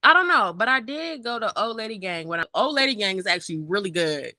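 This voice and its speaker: Bright, chatty young woman. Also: medium pitch, quick pace, dry recording, clean studio sound. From Maryland, USA. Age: young adult. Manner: animated, chatty, laughs often. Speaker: interview guest, rapper. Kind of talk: casual interview chat.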